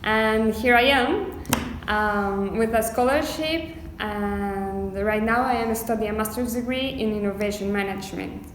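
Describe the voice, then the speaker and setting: Slow-talking woman from Colombia. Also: medium pitch, a little hiss or hum. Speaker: master's student, designer. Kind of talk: casual interview. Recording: echoey room, faint ambience.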